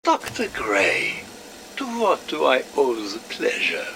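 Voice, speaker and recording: An actor playing a fussy, wry scientist with a formal, pedantic manner in an audio drama. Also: male voice, mid-range voice, wildly swooping pitch, slow, vocal fry, fairly bright tone, a little hiss or hum, heavily acted. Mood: wry, playful. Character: fussy, wry, verbose male scientist, smugly playful.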